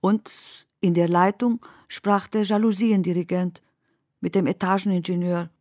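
A woman performing as the narrator of surreal, dreamlike poetic prose in a radio play, speaking in a measured, deliberate manner. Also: on the low side, steady tone, a little mellow, dry recording, studio quality, lightly acted.

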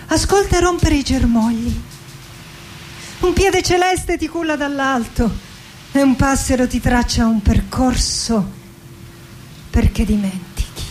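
A woman acting a melancholy middle-aged poet in a theatrical monologue, speaking in a hushed, dramatic way that often slips toward whispering. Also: fairly high pitch, a little hiss or hum. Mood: tender, resigned. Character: melancholy 46-year-old woman poet bidding farewell to life. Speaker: actress and director. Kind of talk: theatrical poetic monologue with music. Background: music.

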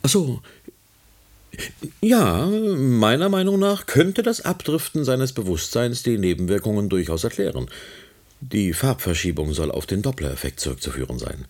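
An actor playing an eager, deferential research scientist in a radio drama, explaining formally with hesitant interjections. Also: male voice, lowish voice, big pitch swings, normal speed, a little mellow. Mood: deferential, explanatory. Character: eager, deferential male research scientist reporting to his chairman. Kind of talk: radio drama dialogue, one side of a conversation.

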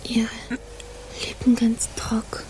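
A woman playing a tender, yearning seductress in a radio drama, murmuring in a breathy whisper. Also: fairly high pitch, monotone, slow pace, low-quality recording.

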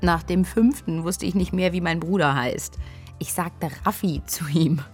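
An actress playing a young student in a radio play, recalling a drunken night of grief in a candid, dry, conversational way. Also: female voice, lowish voice, unhurried, a little mellow, soft background music, lightly acted. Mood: wry, candid. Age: young adult. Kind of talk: interview-like monologue in a radio play.

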